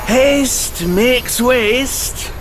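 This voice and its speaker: A man's voice: very high voice, drawn-out words, over music, heavily acted. A man voicing a brash, folksy, boisterous fighter in a fantasy video game.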